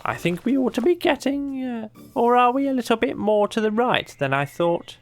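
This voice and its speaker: A reader voicing a bossy, fussy male rabbit with a brisk, officious manner for a children's storybook. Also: mid-range voice, lively intonation, faint music behind, pitched above the performer's own voice, close to an everyday voice. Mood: flustered bluster. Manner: brisk, officious, covering up uncertainty.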